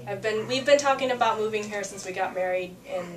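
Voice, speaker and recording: A woman's voice: medium pitch, quick pace, heavy background noise. A young woman from Peru, a singer-songwriter, chatting in an interview with frequent fillers and laughter. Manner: chatty, laughs often, many fillers and restarts. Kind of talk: casual interview chat. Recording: roomy, distant mic, faint ambience.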